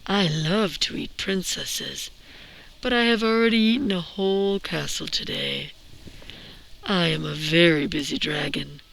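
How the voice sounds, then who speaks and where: Woman putting on a slow, boastful, gravelly dragon. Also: low-pitched, fairly bright tone, heavily acted, rough recording. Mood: haughty, dismissive. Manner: slow, pompous, drawn-out delivery. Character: big boastful male storybook dragon, self-important and grumpy. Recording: noticeable background noise.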